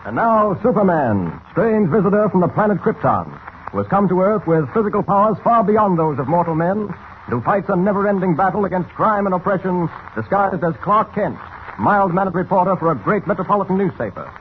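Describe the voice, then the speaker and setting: A man's voice: high voice, animated, a little fast. A man narrating an old radio serial in a dramatic, scripted style. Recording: tinny, hissy old radio recording.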